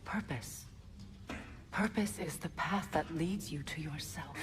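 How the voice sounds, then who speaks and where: An actress playing a proud, resolute warrior goddess in a video game, earnest and deliberate. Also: female voice, low-pitched, music in the background. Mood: earnest, encouraging. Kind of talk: dramatic video game acting.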